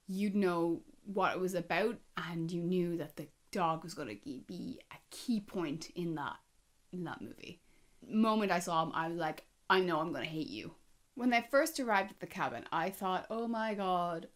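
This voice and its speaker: A woman giving a casual, chatty film review, speaking conversationally with emphatic asides and rhetorical questions. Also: fairly low pitch. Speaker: movie review vlogger.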